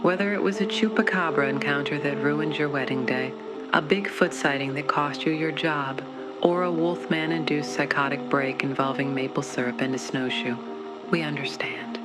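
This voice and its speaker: A woman's voice: sits low, fairly even intonation, moderate pace, loud music behind the voice, natural voice. A woman playing an earnest, reassuring support-group spokesperson in a parody commercial voice-over.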